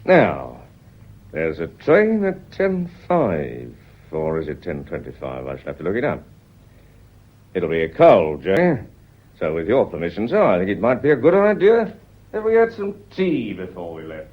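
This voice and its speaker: Radio play, British police inspector: deep, animated, deliberate and politely insinuating, on a dull recording. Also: male voice, exaggerated voice. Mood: calm, official. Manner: measured, formally courteous, insinuating. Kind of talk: British radio drama acting. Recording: dull, band-limited radio drama recording.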